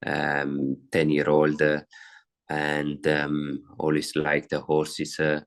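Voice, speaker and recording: Very deep, flat Italian-accented man. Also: takes it slowly. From Italy. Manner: hesitant, many fillers and restarts. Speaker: racehorse trainer. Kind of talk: interview answers.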